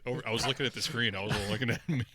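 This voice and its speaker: A man's voice: mid-range voice, steady tone, rapid-fire, pushed and bright. A man co-hosting a podcast, joking and swearing casually with friends on a headset mic. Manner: joking, profane. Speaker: podcast co-host.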